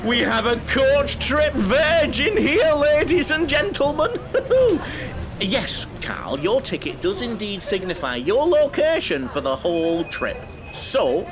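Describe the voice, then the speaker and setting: Casting: camp, self-important holiday enthusiast, high swooping delivery, comic audio drama, vehicle noise behind. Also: male voice, very animated, unhurried. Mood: gleeful, teasing. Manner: theatrical, self-important, over-familiar. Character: chatty, camp, fussy male coach-trip enthusiast.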